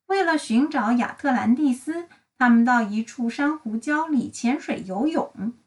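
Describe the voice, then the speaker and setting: A woman reading a children's storybook aloud in an expressive, deliberate storytelling style. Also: on the high side, far from the mic. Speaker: storybook reader. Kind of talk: bilingual children's read-aloud narration.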